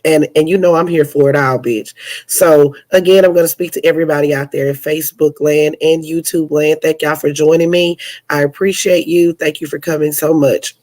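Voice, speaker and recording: A woman's voice: deep voice, fairly even intonation, normal speed, a little mellow. A young woman hosting a news podcast, chatty and sassy, calling her listeners honey and sounding stuffy from a cold. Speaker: podcast host and news commentator. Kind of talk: casual news commentary podcast.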